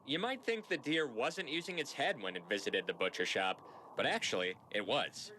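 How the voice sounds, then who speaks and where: A man's voice: mid-range voice, expressive, moderate pace, sharp tone, slight background noise. A man reading a scripted TV news feature with a playful, punning delivery. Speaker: news reporter.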